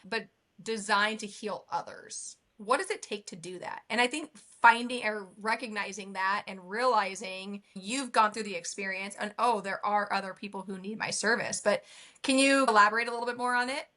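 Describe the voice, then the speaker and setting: A woman's voice: mid-range voice, moderate pace, bright, forceful tone. A woman from Nebraska hosting a podcast, chatting casually and affirming her guest, with rambling anecdotes. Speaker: podcast host. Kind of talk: casual interview chat.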